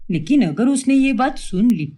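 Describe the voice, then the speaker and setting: Woman as a grumbling, animated cartoon husband. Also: a little high, moderate pace. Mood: pleading. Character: grumbling, scheming husbands fed up with home cooking.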